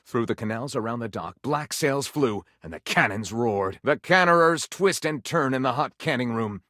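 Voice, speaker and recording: Theatrical pulp detective narrator for a game, tongue in cheek, super swoopy dramatic delivery, very dry sound. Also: male voice, medium pitch, animated, normal speed, sharp tone, dry recording. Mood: arch, dramatic. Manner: melodramatic, arch, tongue-in-cheek. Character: theatrical storyteller narrating pulpy detective adventures with mock gravity. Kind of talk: dramatic scripted story narration.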